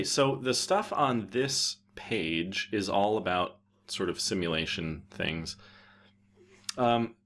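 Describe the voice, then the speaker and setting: A man presenting a casual, unscripted software tutorial in an offhand way, thinking aloud as he goes. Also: sits low, slight background noise.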